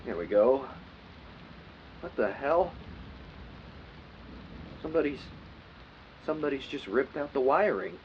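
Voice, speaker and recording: A man's voice: medium pitch, big pitch swings, highs cut off. Man acting as uneasy podcaster on noisy field tape. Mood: agitated. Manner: halting self-narration, swearing. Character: male podcaster lost in wilderness, increasingly frightened and paranoid. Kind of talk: found-tape audio drama monologue.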